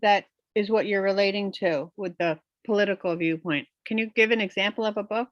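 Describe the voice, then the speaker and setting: A woman's voice: mid-range voice, fairly quick, dry recording, dull audio. A woman taking part in a casual online discussion, responding to a colleague. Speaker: webinar participant.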